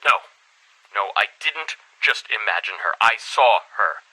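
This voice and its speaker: Troubled middle-aged headmaster, game audio diary; forceful, husky, lowish voice, halting delivery. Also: male voice, lively intonation, exaggerated voice, rough recording. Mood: agitated, determined. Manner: halting, troubled, repeats words for emphasis. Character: anxious middle-aged school headmaster confiding in a tape recorder. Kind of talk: dramatic audio-diary monologue.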